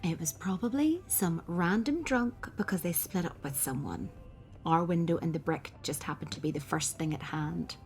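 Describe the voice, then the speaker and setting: A woman's voice: fairly low pitch, moderate pace, darkish tone, soft background music, close to an everyday voice. An actress playing a protective, reassuring woman in a radio soap.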